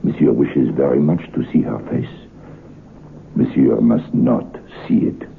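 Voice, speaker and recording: A male actor playing a grave French waiter in an old-time radio horror drama, speaking formal, halting broken English with a French accent. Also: very deep voice, vocal fry, heavily acted. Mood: grave warning. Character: grave French waiter telling a ghostly tragic tale. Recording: muffled old radio recording with hiss.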